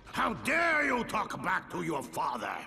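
An actor playing an imperious, menacing demon overlord and father in an animated game, pompous and domineering. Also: sits high, unhurried, gravelly, faint music behind, heavily acted. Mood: domineering, indignant. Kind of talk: animated video game acting.

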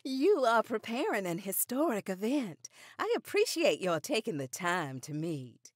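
A formidable, dignified suffrage leader in a radio play. Her pitch moves expressively over a dry, close recording, and her delivery is formal and pointed. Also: big pitch swings, unhurried, no echo. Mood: gracious, formal. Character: dignified, formidable woman elder of the suffrage movement. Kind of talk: radio drama acting.

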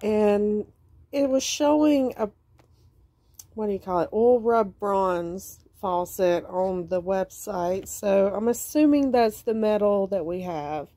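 Woman vlogger, unhurried, chatty show-and-tell on a phone camera. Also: medium pitch, mellow. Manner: chatty, explains items plainly. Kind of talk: casual show-and-tell vlog.

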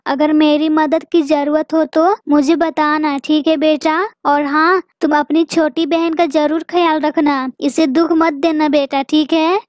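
A woman voicing a caring, motherly aunt pigeon in a children's cartoon, gently advising with concern. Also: high voice, no echo, clean studio sound. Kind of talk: Hindi children's cartoon dialogue.

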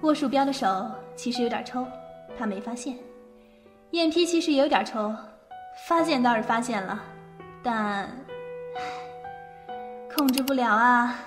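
A woman narrates an audio drama from a script. Her voice sits fairly high, with faint music underneath. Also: animated, a little slow, pauses often.

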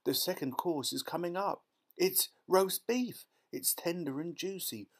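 A man voicing a brash, boastful, gum-chewing little girl who gushes excitedly in a children's storybook. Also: fairly high pitch, normal speed, pitched above the performer's own voice. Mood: delighted. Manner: gushing, excitable. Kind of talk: dramatised children's storybook reading.

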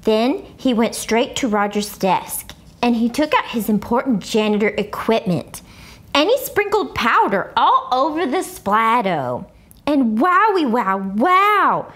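Woman acting a first-grade girl, high-pitched, very animated, blunt, dramatic, storybook read-aloud, exaggerated emphasis. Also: unhurried. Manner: childlike bad grammar, exaggerated emphasis. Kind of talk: expressive children's book read-aloud.

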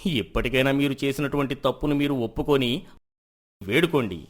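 A man voicing a stern but fair village elder who judges disputes in a moral story, measured and authoritative. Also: mid-range voice, close to an everyday voice. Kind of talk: dramatised moral story acting.

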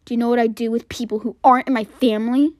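A woman's voice: on the high side, steady tone, rapid-fire, darkish tone, dry recording, studio quality, close to an everyday voice. A woman voicing a menacing kidnapper father who turns cowardly when cornered, in an amateur roleplay. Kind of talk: amateur toy-app roleplay voice acting.

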